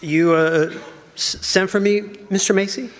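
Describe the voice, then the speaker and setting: A man's voice: sits high, steady tone, unhurried, loud music behind the voice. A man playing a timid, obsequious company underling in a radio drama, stammering nervously. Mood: cowed. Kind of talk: stage radio drama acting. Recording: echoey hall, long reverb.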